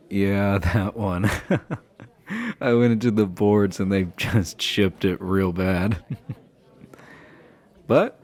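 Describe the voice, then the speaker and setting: Man acting as goofy himbo hockey player, lowish voice, very unhurried pace, playful and teasing, casual audio roleplay. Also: darkish tone. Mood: playful, earnest. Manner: playful, laughs often, halting teasing delivery. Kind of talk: intimate boyfriend-roleplay acting, casual improvised chat.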